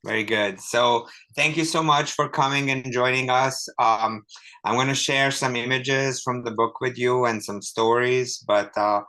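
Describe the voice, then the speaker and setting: A middle-aged man from Hungary, an author and professor giving a relaxed, chatty talk with dry humor and many ums over a video call. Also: mid-range voice, unhurried. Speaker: author and professor, guest speaker. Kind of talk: informal talk to an online audience.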